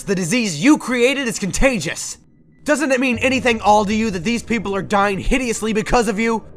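A man's voice: very high-pitched, fairly bright tone, heavily acted. A man playing a stern, brooding doctor in an anime dub, intense and accusatory in his delivery. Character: stern, brooding male doctor, indignant and morally driven. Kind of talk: dramatic anime dub acting.

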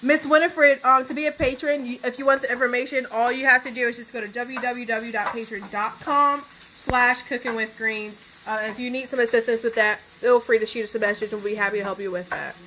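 A young woman with a high, bright voice reads viewer greetings one after another. She has a friendly, steady delivery, and faint noise sits behind her voice. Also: fairly quick, hardly any pauses, low-quality recording. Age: young adult. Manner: friendly, steady list-reading. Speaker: co-host reading viewer comments. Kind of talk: reading out live chat greetings. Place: home kitchen, indoors.

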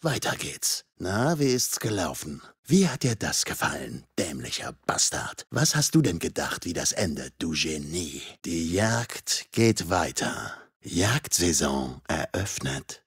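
A menacing game villain, a masked killer with a deep, rough voice. He taunts his victims with swooping intonation and dry, cruel mockery. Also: sing-song, a bit bright, no echo, full character voice. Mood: taunting, sardonic. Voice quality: raspy, husky. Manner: sardonic, taunting, drawn-out menace. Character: masked male slasher killer, mocking, menacing, foul-mouthed. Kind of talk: video game combat voicelines.

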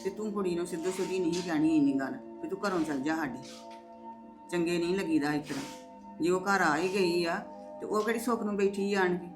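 A woman's voice: lowish voice, brisk, music in the background, close to an everyday voice. A woman playing an older mother-in-law who reasons firmly and soothes her daughter-in-law in a family drama. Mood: reasoning. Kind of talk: domestic drama acting.

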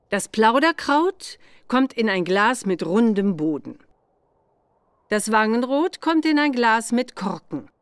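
A woman playing an older witch examiner who gives patient, deliberate instructions in a children's game. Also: fairly high pitch, smooth, no room sound, clean studio sound.

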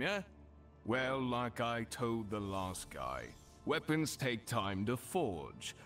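An actor playing a stressed, overworked blacksmith in a fantasy game, blunt and exasperated. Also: male voice, medium pitch, fairly bright tone. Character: gruff, stressed, overworked male village blacksmith.

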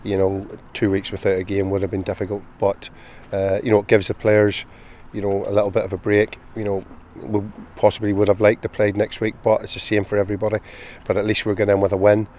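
Northern Irish manager, low flat voice. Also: male voice, slight background noise. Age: middle-aged. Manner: measured, many "you know" fillers. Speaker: football manager. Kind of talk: post-match interview. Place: outdoors at a football stadium pitchside. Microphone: handheld interview mic, close camera.

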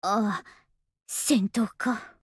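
A woman playing a lazy, polite, softly affectionate young masked soldier in an anime game, with an understated, languid delivery. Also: mid-range voice, very slow, slightly rough, dry recording, studio quality, heavily acted. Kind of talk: Japanese anime game voice acting.

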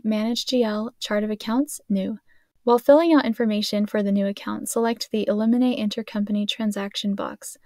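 Woman, scripted tutorial narration, soft-edged mellow tone, precise instructional delivery, dry close recording. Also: medium pitch, dry recording.

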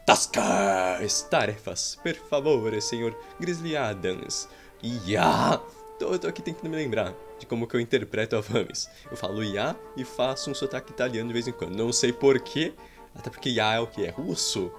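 A young man with a Brazilian Portuguese accent giving playful, rambling gameplay commentary with roleplay jokes and sudden excited outbursts, recorded indoors at home. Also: medium pitch, moderate pace. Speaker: gameplay streamer. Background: faint game music.

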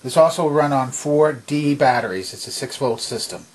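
A man presenting a hands-on demonstration in a methodical, explanatory way, with a slightly husky voice. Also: medium pitch, expressive, moderate pace, low-quality recording. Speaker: presenter demonstrating vintage tape recorder. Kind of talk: instructional demonstration narration. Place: indoor home workbench.

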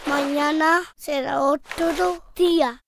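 A woman voicing a clever, confident little peasant girl in an animated folk tale, calm and self-assured. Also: high voice, slow, noisy background. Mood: reassuring.

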